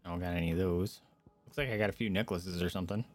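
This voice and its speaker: Man, fairly low voice, casual game streamer, reads screen text aloud, muttered asides, music in the background. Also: fairly even intonation, fast talker. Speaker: streamer playing a video game. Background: video game music.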